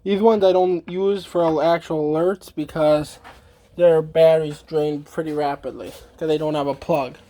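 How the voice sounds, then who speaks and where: A man giving a casual, halting, informal show-and-tell demonstration as a hobbyist. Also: a little high, steady tone, dark tone, a little hiss or hum. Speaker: hobbyist demonstrating a radio collection.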